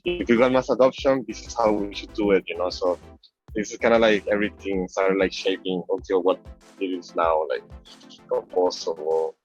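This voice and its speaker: Venezuelan guy chatting on a call, kinda low voice, rambly, lo-fi sound w music behind. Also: steady tone, normal speed. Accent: Venezuelan Spanish. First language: Spanish. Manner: rambling, heavy use of "like" and "you know". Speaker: interview guest, crypto app designer. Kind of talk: casual chat. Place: online call.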